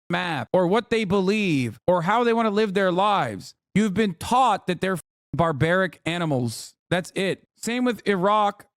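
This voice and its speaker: A fiery male streamer with an American accent, born in Turkey and a native Turkish speaker, goes on a profane political rant. His voice sits a little high, and the recording is close and dry with no room sound. He talks casually, with emphatic bursts. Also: dry recording. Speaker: livestream host reacting to news clips.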